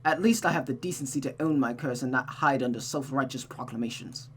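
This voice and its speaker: A woman's voice: extremely low pitch, a little fast, faint music behind. A woman voicing a proud, tormented cursed man in a visual novel, bitter and sneering in a dramatic delivery. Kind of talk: visual novel character read-aloud.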